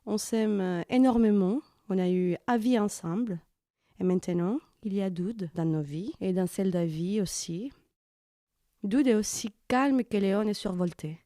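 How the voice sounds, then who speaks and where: A woman's voice: mid-range voice, unhurried, mellow, no room sound, clean studio sound, lightly acted. An actress playing an earnest, playful bookish mother who introduces herself hesitantly and informally, as if interviewed, in audio fiction. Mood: shy, playful. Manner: informal, hesitant, self-conscious.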